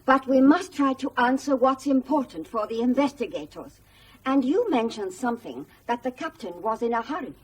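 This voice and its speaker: A woman's voice: on the high side, animated, normal speed, a little hiss or hum, lightly acted, low-quality recording. A woman acting in a radio drama as a persistent news interviewer, businesslike and prompting. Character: brisk, persistent female news agency interviewer.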